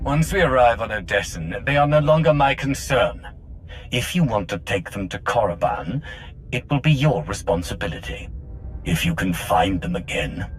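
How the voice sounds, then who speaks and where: Curt, defiant low-voiced man an actor's game role. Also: expressive, moderate pace, soft background music, close to an everyday voice. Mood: defiant, dismissive. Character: defiant, self-assured male sci-fi schemer. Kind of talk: dramatic video game cutscene acting.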